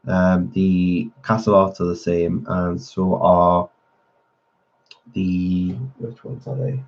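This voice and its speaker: A man's voice: deep voice, fairly even intonation, takes it slowly, muffled recording. A man hosting a colouring channel, chatting casually with viewers while he colours, a little nasal as he gets over a cold. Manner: chatty, answering viewer comments. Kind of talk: casual live-stream chat while colouring.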